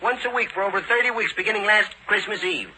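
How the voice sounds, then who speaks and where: An actor playing an older American police inspector, gruff, excitable and emphatic, in 1940s radio drama style. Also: male voice, high-pitched, fast talker. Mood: baffled, insistent. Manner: barking, emphatic. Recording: noisy 1940s radio recording.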